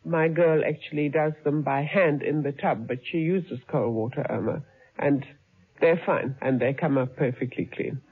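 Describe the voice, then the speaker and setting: Husky woman in an old radio ad. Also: low-pitched, normal speed, narrow, tinny audio. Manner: chatty, homely testimonial. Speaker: ad testimonial speaker.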